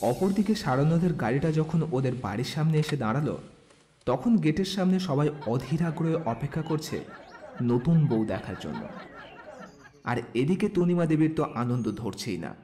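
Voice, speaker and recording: A man's voice: a little high, big pitch swings, moderate pace, dark tone, a faint crowd. A man narrating a scripted romantic story as a storyteller.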